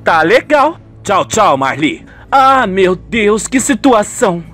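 A man's voice: high voice, big pitch swings, takes it slowly, a bit bright, faint music behind, full character voice. A man voicing a troubled, suspicious wife and mother in a dramatic, plaintive radio soap style. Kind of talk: radio soap opera acting.

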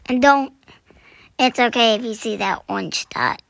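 A woman's voice: a little high, moderate pace, vocal fry, fairly bright tone. Young woman with a scratchy morning voice. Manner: chatty, self-deprecating, often drops to a whisper. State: scratchy, throat-clearing voice. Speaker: gaming channel host. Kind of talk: casual gameplay commentary.

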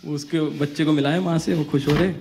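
A young man telling a comic story on stage, acting out dialogue in an animated, slangy way. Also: fairly high pitch, fairly quick, vocal fry, background noise. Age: young adult. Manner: animated, acts out dialogue in conversational Hindi slang. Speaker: stand-up comedian. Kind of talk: stand-up comedy storytelling. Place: small comedy club stage with audience. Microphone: handheld microphone.

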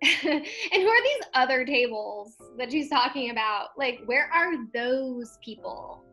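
Woman playing a high, bright, incredulous date. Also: animated, a little slow, soft background music, exaggerated voice. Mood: suspicious. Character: incredulous, suspicious young woman on an awkward video date. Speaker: actor in a comedy sketch. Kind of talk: sketch comedy acting, conversational.

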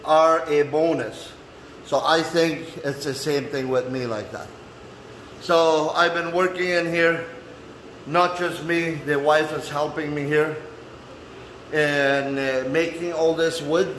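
Man, casual vlog host, halting folksy phrasing, unhurried pace, roomy echo with light background noise. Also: on the high side, steady tone, fairly bright tone. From Canada. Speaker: vlogger and handyman host. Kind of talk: casual walk-through chat to camera. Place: indoors in a man cave workshop.

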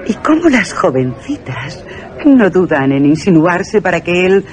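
A woman's voice: fairly low pitch, big pitch swings, unhurried, a little mellow, faint music behind. A woman playing an intrigued, wary London society gossip in a radio drama, theatrical and insinuating. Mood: intrigued, gossipy. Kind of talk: Spanish radio theatre acting.

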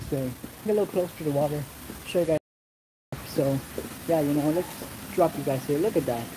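A slightly high-voiced man talks into a handheld phone as he walks outside, rambling, cursing and breaking off mid-sentence. Steady outdoor noise runs under the phone audio, and his voice sits a touch above the usual male range. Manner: rambling, profane, restarts often. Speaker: vlogger. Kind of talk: casual walking vlog. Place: outdoors on a resort path. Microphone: phone camera held while walking.

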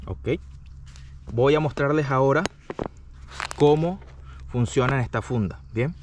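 A man giving a casual, explanatory hands-on product review, often pausing to check the listener follows. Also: medium pitch, darkish tone. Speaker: product reviewer, channel host. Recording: distant mic, faint background noise.

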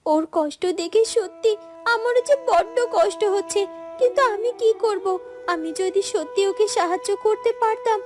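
Very high girlish voice, woman acting innocent kind-hearted cartoon teen, plaintive, earnest, music behind. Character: innocent, kind-hearted eighteen-year-old girl, sorrowful and tender. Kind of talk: cartoon fairy-tale voice acting.

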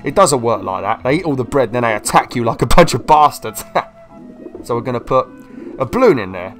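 Young gamer with a cold; chatty, excitable walkthrough talk, mid pitch. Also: male voice, moderate pace, soft background music. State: slightly ill, sniffly. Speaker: gameplay video host. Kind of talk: casual let's-play commentary.

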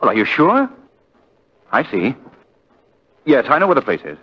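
An actor playing a courteous, composed gentleman sleuth in a 1940s radio drama, polite and urbane. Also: mid-range voice, huge pitch swings, moderate pace, husky. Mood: brisk, urgent. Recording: dull 1940s radio recording, music behind.

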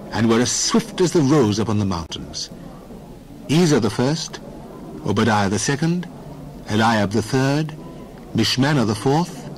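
A man narrating scripture in a measured, formal way for a dramatized reading. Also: medium pitch, a little mellow, slight background noise, low-quality recording.

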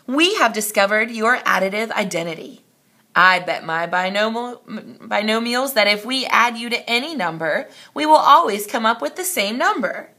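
Woman voicing an excitable, creaky storybook count. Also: on the high side, moderate pace, pitched above the performer's own voice, full character voice. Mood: delighted. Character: excitable old male count of numbers, inventor.

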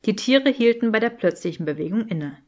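A woman's voice: a little high, lively intonation, a little fast, mellow. A woman reading a scripted fan fiction story as an audiobook narrator.

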